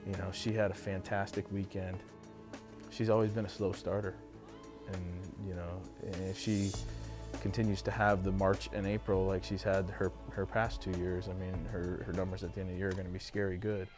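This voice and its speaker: A man, a softball coach, speaking casually about a player in an interview. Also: sits low, little pitch movement, brisk, music in the background. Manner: casual, filler-heavy. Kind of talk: interview answers.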